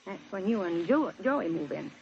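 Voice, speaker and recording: An actress playing a middle-aged criminal mother who coaxes and schemes calmly, in 1950s radio drama style. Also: medium pitch, normal speed, vocal fry. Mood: scheming, proud. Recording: muffled, noisy old radio broadcast.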